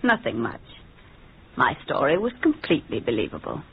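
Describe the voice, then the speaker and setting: Casting: scheming high-society wife, coy and haughty, animated pitch, 1940s radio melodrama, muffled narrow-band recording. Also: female voice, moderate pace, vocal fry, slight background noise, full character voice. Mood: haughty, cold. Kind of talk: 1940s radio drama acting.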